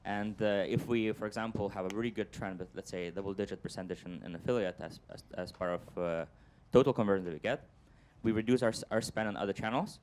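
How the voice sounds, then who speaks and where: Smooth-voiced man explaining marketing on a panel. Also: fairly low pitch, quick pace, few pauses, darkish tone. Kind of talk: panel discussion answer.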